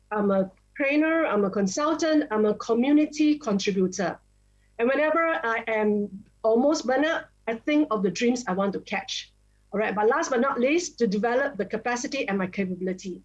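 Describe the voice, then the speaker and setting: A woman's voice: on the high side, muffled recording. Upbeat woman giving closing tips. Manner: upbeat, list-like delivery. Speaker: panelist. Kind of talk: motivational closing remarks. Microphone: video call.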